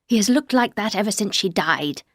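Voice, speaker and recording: A woman voicing a bitter, menacing older housekeeper who speaks slowly and coldly, often dropping to a whisper. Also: expressive, dry recording, studio quality, natural voice. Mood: cold menace. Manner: slow, low, cold, often whispered.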